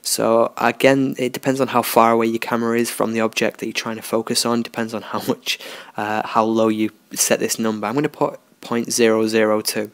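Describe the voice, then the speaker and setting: A man talking through a software tutorial in a relaxed, unscripted way, thinking aloud as he works. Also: mid-range voice, fairly quick, hardly any pauses, clear, resonant tone, no echo. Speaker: tutorial host. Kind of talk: screen-recorded software tutorial.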